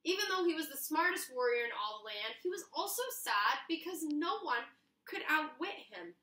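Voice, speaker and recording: A young woman reading a picture book aloud to children in an animated, expressive, dramatic storytelling manner. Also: high-pitched. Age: young adult. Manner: expressive, drawn-out dramatic narration. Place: small home kitchen, indoors. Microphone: camera a few feet away. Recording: roomy kitchen, camera a few feet away.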